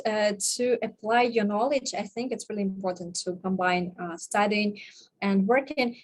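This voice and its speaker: A woman moderates a webinar over a video call. She talks in a friendly, casual way and keeps her voice at a middling pitch. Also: low-quality recording. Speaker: webinar host.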